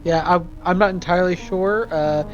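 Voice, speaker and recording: A male tabletop roleplayer improvises in character with a fairly high voice. He speaks haltingly and rephrases often. The recording is a bit dull, with faint music behind him. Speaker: player in a tabletop roleplay game.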